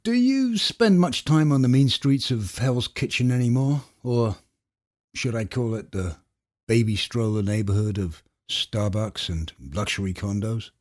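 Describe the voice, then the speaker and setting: A man's voice: mid-range voice, unhurried, a little mellow. A man hosting a podcast, speaking in a conversational literary interview style. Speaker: podcast host.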